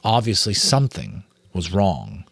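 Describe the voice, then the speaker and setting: Animated American man reading aloud. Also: low voice, measured pace, vocal fry, slightly rough, no room sound. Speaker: audiobook narrator, amateur voice actor. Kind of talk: dramatic read-aloud narration with casual asides.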